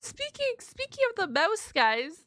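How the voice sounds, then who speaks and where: A woman hosting a reaction video, commenting casually in an excitable, rambling, slangy way. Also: very high-pitched, a little slow, no room sound.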